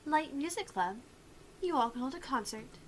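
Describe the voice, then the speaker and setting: A woman's voice: sits high, lively intonation, moderate pace, poor audio. A woman voicing a young student council vice president in a visual novel, bossy yet apologetic and a little hesitant. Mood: organising, hesitant. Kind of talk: acted visual novel dialogue. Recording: clear background noise.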